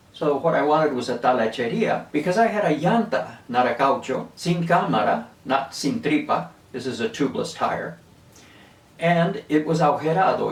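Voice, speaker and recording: A man in his seventies who grew up in Venezuela, unhurriedly reminiscing and explaining words in a casual storytelling way. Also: mid-range voice. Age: 70s. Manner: unhurried, reminiscing, chuckles at his own stories. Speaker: linguistics video host. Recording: roomy echo, mic at a distance.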